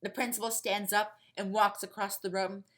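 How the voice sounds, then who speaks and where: A woman's voice: mid-range voice, flat delivery, normal speed, fairly bright tone. A woman reading scripted fiction as the narrator of a story podcast.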